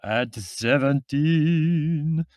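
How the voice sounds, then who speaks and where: A man voicing a tipsy, lecherous, gravely courtly Middle European man in an audiobook, with a heavy accent and intoning delivery. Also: mid-range voice, expressive, slow, no echo, studio quality. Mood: lecherous singing. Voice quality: heavy, sepulchral. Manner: intoning, doleful, florid.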